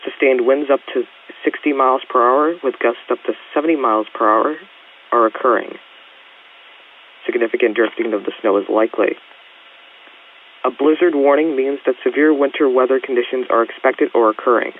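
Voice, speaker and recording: A synthetic man's voice delivering a scripted emergency weather warning as a formal, measured announcer. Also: mid-range voice. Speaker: automated weather alert announcer. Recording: hissy, tinny weather radio transmission.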